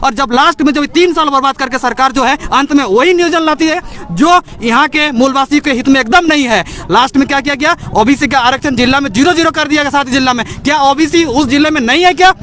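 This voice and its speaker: Casting: young male protester, Jharkhand accent, rapid and forceful, indignant street-interview delivery. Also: very high-pitched. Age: young adult. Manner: indignant, insistent.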